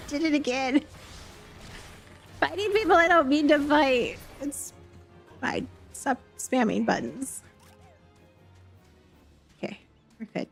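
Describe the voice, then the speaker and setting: A woman's voice: high-pitched, lively intonation, slow, gravelly, pauses often. A woman live streamer giving casual, playful commentary on a game, muttering to herself in short remarks. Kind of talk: casual gameplay commentary, reading game dialogue aloud. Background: background music.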